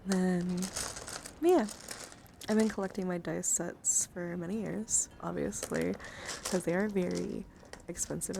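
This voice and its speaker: A woman livestreamer chatting casually in an ASMR style, halting and rambling as she thinks aloud. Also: mid-range voice, gravelly, noisy background. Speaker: livestreamer showing a dice collection. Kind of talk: casual ASMR chat to viewers.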